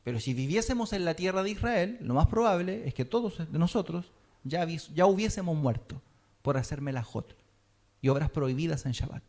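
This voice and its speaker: A man's voice: on the high side, expressive, brisk, a little mellow. Teacherly man preaching. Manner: teacherly, checks in with listeners often. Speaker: teacher of a Messianic congregation. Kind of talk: sermon teaching.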